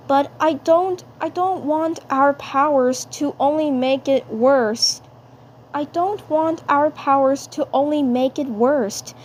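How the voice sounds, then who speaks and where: A woman's voice: sits high, darkish tone, voice pushed up. A woman voicing a caring, worried young superhero girl in an amateur cartoon fandub, speaking hesitantly and carefully. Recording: noticeable background noise.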